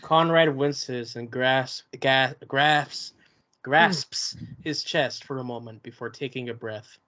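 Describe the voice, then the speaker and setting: Man reading stage directions, bright voice. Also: mid-range voice, slow, lo-fi sound. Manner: plain, matter-of-fact reading with small slips.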